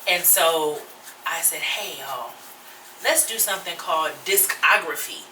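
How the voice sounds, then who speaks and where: A woman's voice: deep voice, a little slow, background noise. A woman hosting a podcast, chatting casually and laughing often. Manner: laughs often, lively and playful. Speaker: podcast host. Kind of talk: casual group chat. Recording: roomy echo, mic at a distance.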